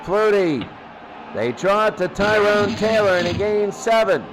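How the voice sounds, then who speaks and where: A man's voice: high-pitched, animated, unhurried, poor audio. A man giving live football play-by-play commentary in short, clipped present-tense calls. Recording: loud noise behind the voice.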